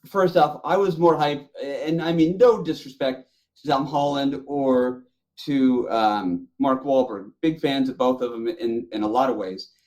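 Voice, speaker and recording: A man's voice: mid-range voice, a little slow, a little mellow. A middle-aged man talking casually and enthusiastically about films as a podcast panelist. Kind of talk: casual chat about movies.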